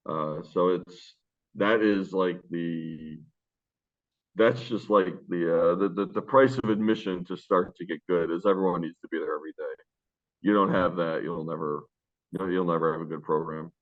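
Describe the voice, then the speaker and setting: A man, a high school basketball coach, answering interview questions in a loud, deep voice, rambling with fillers and restarts. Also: fairly even intonation, normal speed. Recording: tinny phone-line sound.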